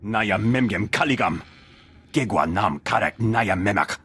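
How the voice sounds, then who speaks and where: A man voicing a gruff, rough-voiced alien enforcer who speaks an invented tongue in a video game. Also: lowish voice, lively intonation, measured pace, fairly bright tone, exaggerated voice. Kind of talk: video game character dialogue.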